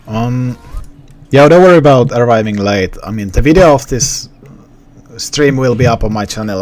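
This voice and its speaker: A young man from Finland with a softened Finnish accent, chatting casually to his live-stream viewers with many restarts. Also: fairly low pitch. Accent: Finnish, partly suppressed. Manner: rambling, restarts, talks to chat.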